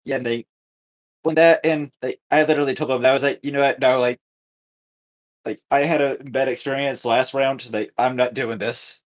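A man co-hosting a podcast, telling a story casually with halting, repetitive, circling phrasing. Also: medium pitch, steady tone, dull audio. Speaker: podcast co-host.

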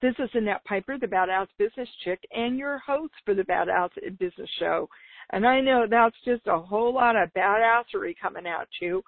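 A woman with a slightly raspy voice, talking informally and enthusiastically as the solo host of a business podcast. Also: medium pitch, a little fast, dry recording. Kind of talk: upbeat solo podcast talk. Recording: dull lo-fi radio-like sound.

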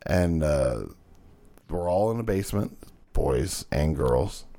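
A man co-hosting a podcast in casual chat, with a loud voice that carries, profane and fond of telling stories. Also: a bass voice, expressive, takes it slowly, slight background noise. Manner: profane, storytelling, laughing often. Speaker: podcast co-host.

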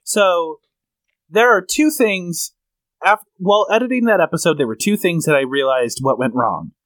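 A man's voice: high voice, normal speed, a bit bright, dry recording. A man co-hosting a comedy podcast in casual chat, explaining things at length and telling stories. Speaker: podcast co-host.

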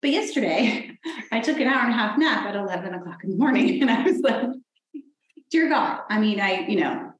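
Soft-spoken woman in her forties, echoey. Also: medium pitch, sing-song, fairly quick. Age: 40s. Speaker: podcast co-host. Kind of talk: casual podcast chat. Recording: very echoey room.

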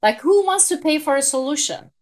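A woman's voice: sits high, animated, fairly quick. A woman in her fifties from Turkey with a Turkish accent, a guest in an interview telling her career story reflectively, with frequent small laughs.

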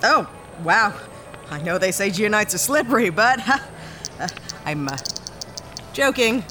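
Woman as wry, animated space pilot for hire. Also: medium pitch, slow, music in the background. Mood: awkward, joking. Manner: sarcastic, sceptical, hesitant asides. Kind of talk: sci-fi audio drama acting.

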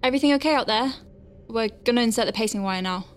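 A woman playing a flustered but awestruck space medic in an audio drama, chatty, nervy and expressive as she talks through her work. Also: on the high side, fast, natural voice. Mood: focused, wry. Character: young female space medic Faye, flustered but awestruck, working inside star whale. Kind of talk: audio drama acting with first-person narration.

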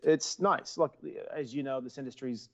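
A man's voice: mid-range voice, normal speed, lo-fi sound. A man in his forties from Central California, Portuguese his first language, chatting as an actor guest on a call, rambling with many ums and restarts. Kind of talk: casual interview over a call.

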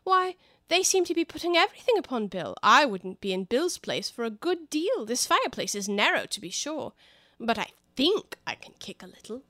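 A woman's voice: sits high, sing-song, moderate pace, a bit bright, dry recording, clean studio sound. A woman voicing a curious, determined young girl talking to herself. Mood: musing.

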